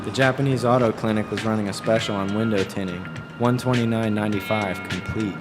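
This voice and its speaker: A man reading a scripted first-person story in a deadpan, terse way, as a wry, observant driver out with his girlfriend. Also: fairly low pitch, brisk, natural voice. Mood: wry, detached. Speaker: author reading excerpts from his own novel. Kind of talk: scripted first-person narration with dialogue over music. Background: music.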